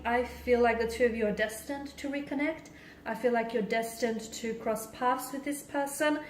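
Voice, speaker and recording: A woman with a fairly high voice gives an informal tarot reading. She addresses the listener directly and repeats key phrases for emphasis. The room echoes, and there is faint noise behind her. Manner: emphatic, repeats and rephrases points for stress. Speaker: tarot card reader and host. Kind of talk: conversational intuitive tarot reading addressed to the viewer. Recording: roomy echo with faint background noise.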